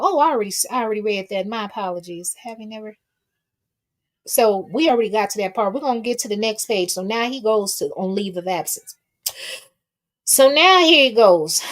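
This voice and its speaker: A woman hosting casual gossip commentary, talking to her viewers in a sassy, folksy, emphatic way and repeating phrases for effect. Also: a little high. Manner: folksy, colloquial, addresses listeners with pet names. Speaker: host of a commentary livestream.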